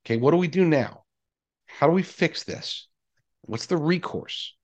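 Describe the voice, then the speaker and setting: A man's voice: fairly high pitch, expressive, a little slow, darkish tone. Man in an emphatic opinion monologue. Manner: conversational, emphatic, voicing imagined replies. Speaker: podcast host.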